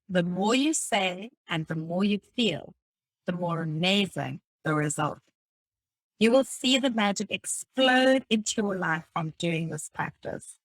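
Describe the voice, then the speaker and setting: A woman reading a motivational self-help text aloud in a deliberate, instructive way. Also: fairly low pitch, no room sound, poor audio. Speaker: reader of a self-help book. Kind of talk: scripted read-aloud of a motivational audiobook text.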